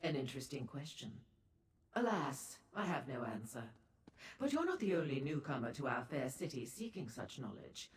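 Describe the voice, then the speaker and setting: Echo-drenched, low-voiced seductive demon merchant. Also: female voice, very animated, moderate pace, a little hiss or hum. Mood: wry, informative. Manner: theatrical, coy, languid purring delivery with ornate formal phrasing. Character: seductive female dark seducer Daedra merchant, worldly and condescending. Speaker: information broker and proprietor. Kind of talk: video game character dialogue. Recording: echoey hall-like reverb on the voice.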